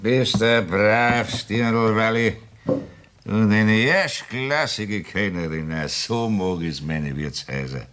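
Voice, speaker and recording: A man's voice: lowish voice, slow pace, fairly bright tone. An actor with a Bavarian accent playing a boisterous, boastful rich farmer in a 1960s radio play, coaxing his friend to drink.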